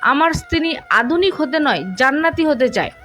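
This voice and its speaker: Woman reading the part of devoted, devout young husband, respectful and sincere, high-pitched delivery, romantic audio story. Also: lively intonation, normal speed, fairly bright tone, soft background music. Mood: firm.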